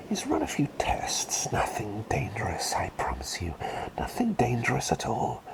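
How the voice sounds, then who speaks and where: A man acts out a gentle, absent-minded male plague doctor for an ASMR roleplay. He whispers slowly, drifting up and down in a very animated way. His manner is polite and rambling, softly repeating himself. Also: on the low side, exaggerated voice, low-quality recording. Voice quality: breathy whisper. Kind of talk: soft-spoken ASMR roleplay.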